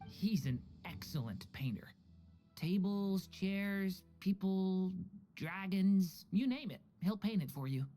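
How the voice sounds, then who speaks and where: A woman's voice: mid-range voice, expressive, slow pace, mellow, soft background music. A woman playing a mild, easygoing friend in a video game. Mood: admiring.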